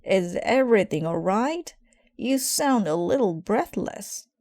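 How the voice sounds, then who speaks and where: Woman putting on a concerned storybook granny bear, raised pitch. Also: lively intonation, slow, a little mellow, no room sound, studio quality. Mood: kindly concern. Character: concerned, kindly elderly grandmother bear.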